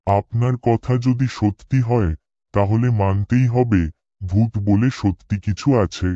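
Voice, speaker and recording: Man playing a mellow, low-voiced sceptical traveller. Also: a little slow, no echo, highs cut off, natural voice. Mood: curious, uneasy. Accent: Bengali. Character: educated male traveller, polite, sceptical of ghosts. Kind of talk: Bengali cartoon voice acting.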